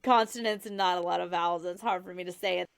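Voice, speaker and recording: A woman's voice: fairly low pitch, fairly even intonation, quick pace, creaky, clean tone. A woman in her thirties hosting a casual chat, laughing often and explaining her research step by step. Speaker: podcast host presenting research.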